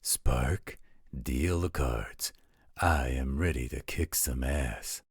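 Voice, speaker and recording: A gruff, defiant werewolf, acted by a man, rumbles extremely low with a heavily husky rasp. He talks slowly and bluntly, sure of himself, in a roleplay audio drama. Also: dry recording, clean studio sound, heavily acted. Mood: cocky. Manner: blunt, cocky.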